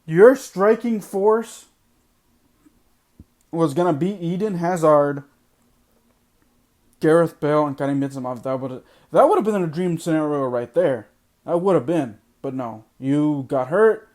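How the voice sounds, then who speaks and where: A man's voice: a little high, animated, unhurried, long pauses, a little mellow. A man hosting a sports podcast, ranting bluntly and repeating his points for emphasis while losing his voice. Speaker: podcast host. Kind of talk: opinionated sports podcast monologue.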